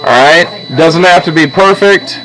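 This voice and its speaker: A man's voice: fairly high pitch, unhurried, sharp tone, rough recording. A man teaching a drawing class step by step, patiently and with frequent check-ins like "okay?". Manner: patient, checks in often with "okay?" and "all right?". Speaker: art teacher. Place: classroom with students present.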